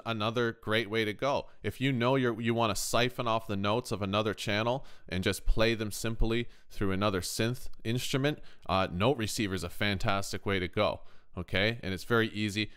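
A man hosting a casual software tutorial, explaining conversationally and often checking in with the listener. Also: fairly low pitch, moderate pace, smooth. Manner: conversational, checks in with "right?" and "okay?". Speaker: tutorial host, music production YouTuber.

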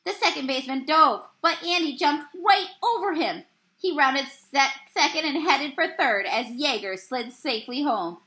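A woman's voice: sits high, a little slow. A young woman reading a picture book aloud expressively, as a teacher would, recorded on a computer mic in a room. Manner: animated storyteller with exclaimed dialogue. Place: small indoor room.

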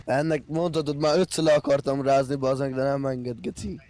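A man's voice: mid-range voice, steady tone, darkish tone, a little hiss or hum. A man talking casually and swearing as a fellow player in game voice chat.

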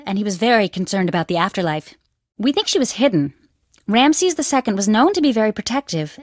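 A woman voicing a young female archaeology student at an Egyptian dig in a video game, animated, by turns nervous and wisecracking. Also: a little high, dry recording, studio quality. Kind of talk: studio game voice acting.

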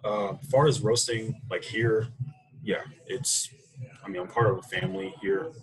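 An American man speaking casually and reflectively as an interview guest on a video call, thinking his way through halting phrases. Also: on the low side, fairly even intonation, crowd noise, low-quality recording. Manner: halting, thoughtful, unhurried phrasing. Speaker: interview guest, coffee roaster. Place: small room indoors, video call. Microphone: computer mic on a video call.

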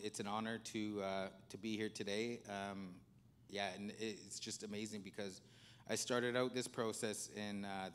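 A man from Canada speaking on a conference panel in a large hall, earnest and halting, often repeating words. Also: on the low side, clear, resonant tone. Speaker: panelist, economic development officer.

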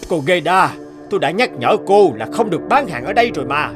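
A man's voice: high-pitched, sing-song, brisk, soft background music. A man playing a stern, dutiful burly policeman in a cartoon, scolding with authority. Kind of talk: dubbed cartoon acting.

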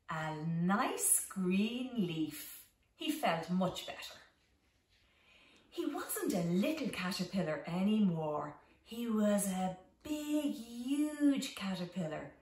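Very slow, animated woman reading to children. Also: mid-range voice. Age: middle-aged. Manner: very slow, simple, deliberate phrasing with expressive emphasis. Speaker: home teacher reading a picture book to young children. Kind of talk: children's storytime read-aloud with signing. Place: small living room at home, indoors. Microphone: camera a few metres away. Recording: roomy echo, distant microphone.

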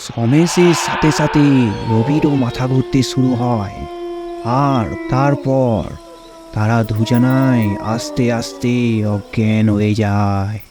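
A man's voice: medium pitch, fairly even intonation, slow, over music. A man narrating a scripted cartoon story as its storyteller.

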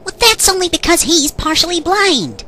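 A woman's voice: very high voice, normal speed, slight background noise, exaggerated voice. A woman voicing a snippy little fairy sidekick with exasperated sarcasm in a parody dub. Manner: scolding, deadpan sarcasm. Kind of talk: fan parody cartoon dub.

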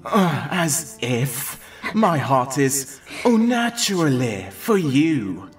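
A woman's voice: a bass voice, sing-song, heavily acted. A woman playing a playful, lovestruck pony sweetheart in a fan audio drama, flirting and teasing. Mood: flirty teasing. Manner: flirtatious, drawn-out delivery. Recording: distant, music underneath.

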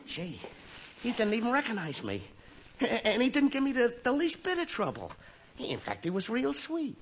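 A high-strung, exasperated male store clerk in a vintage radio comedy. He frets in a very high voice and grumbles to himself. The old recording is muffled and plainly noisy. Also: huge pitch swings, normal speed, heavily acted. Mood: relieved, nervous. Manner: fretful, muttering complaints to himself. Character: exasperated, self-pitying nervous male department store clerk. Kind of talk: 1950s radio comedy acting.

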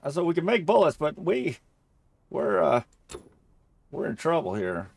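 A man's voice: on the high side, very animated, unhurried, gravelly, slightly rough. A man giving casual video game playthrough commentary, jokey and thinking aloud as he goes.

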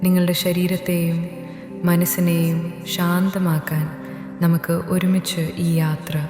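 A woman narrating a guided sleep meditation in a gentle, soothing, instructional manner. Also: on the low side, flat delivery, measured pace. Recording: echoey hall reverb under music. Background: new-age meditation music.

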